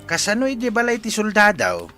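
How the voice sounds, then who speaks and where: Man acting nosy scheming father, animated, bright forceful voice, Ilocano accent, comic radio drama. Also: sits high, a little fast, faint music behind, exaggerated voice. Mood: jocular, curious.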